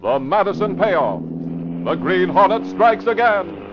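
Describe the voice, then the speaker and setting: A man's voice: high voice, very animated, measured pace, noisy background. A man narrating an old-time radio drama as announcer, formal and dramatic in his scripted delivery. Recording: dull old radio recording, music behind.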